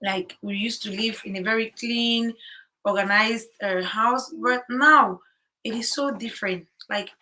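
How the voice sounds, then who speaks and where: A woman's voice: on the high side, measured pace, bright, forceful tone, a little hiss or hum, rough recording. A woman talking casually about life as a new mother, chatty with many fillers and repeated words. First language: English. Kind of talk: casual chat. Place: home in Korea.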